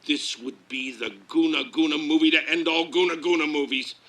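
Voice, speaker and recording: A man's voice: a little high, steady tone, normal speed, bright, forceful tone. A man playing a brash, grandiose exploitation-film producer, boastful and hammy like a carnival barker. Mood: pleading, boastful. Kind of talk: 1950s showman's pitch and memoir-style storytelling.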